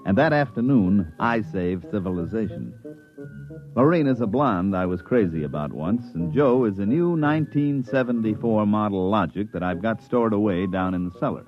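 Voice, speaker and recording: A man's voice: on the low side, animated, moderate pace, faint music behind, natural voice. An actor playing a wry, boastful man narrating his own story in a 1950s radio drama. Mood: wry storytelling. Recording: muffled vintage radio recording.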